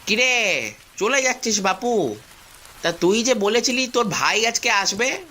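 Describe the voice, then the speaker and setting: Man putting on a sharp, high old village uncle. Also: lively intonation, unhurried, a little hiss or hum, full character voice. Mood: curious. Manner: folksy, fussing, urging. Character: elderly village tea-stall uncle, caring and fussy. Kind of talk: cartoon voice acting.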